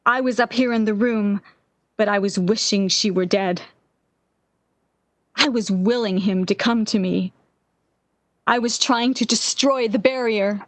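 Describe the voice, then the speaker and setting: A woman playing a distraught, obsessive lovesick woman in a 1940s-style radio drama, with an intense, halting, theatrical delivery. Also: medium pitch, dry recording, close to an everyday voice. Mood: anguished guilt.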